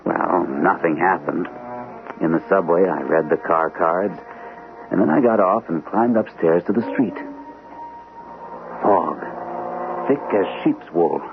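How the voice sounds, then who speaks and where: Low, haunted war veteran on tinny radio. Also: male voice, vocal fry. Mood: haunted recollection. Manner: hesitant, halting delivery. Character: shell-shocked war veteran captain, anxious, hesitant, haunted. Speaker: actor playing the lead and narrating his story. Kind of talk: radio drama acting with first-person narration. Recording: tinny, noisy old radio broadcast.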